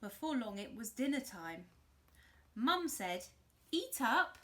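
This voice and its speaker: A woman reading a picture book to young children, expressive and demonstrative, in simple interactive phrases. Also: mid-range voice, unhurried. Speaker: children's storyteller.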